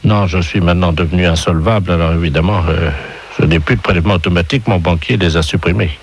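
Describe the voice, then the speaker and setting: A man playing a polite, guileless and overly grateful insolvent bank customer in a prank call, hesitant and rambling with a deadpan courtesy. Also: sits low, fast talker, slightly rough, pitched below the performer's own voice. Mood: grateful, earnest. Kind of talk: prank phone call improvisation. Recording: noisy radio prank phone call.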